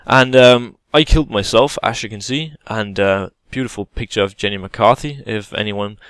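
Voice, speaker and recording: A man's voice: lowish voice, normal speed, fairly bright tone, smooth, no echo. Man, hesitant game tutorial. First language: Danish. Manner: hesitant, many fillers and restarts, casual explaining. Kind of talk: gameplay tutorial voice-over.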